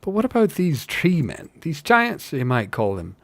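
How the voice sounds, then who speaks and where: A man's voice: on the high side, wildly swooping pitch, moderate pace, no echo, voice pushed up. A man voicing a simple, earnest working hobbit in a storybook, with an Irish-style accent and a folksy, emphatic way of talking. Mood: earnest insistence. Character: earnest simple working hobbit, wonder-struck male tale-teller. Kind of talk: audiobook-style fantasy character reading.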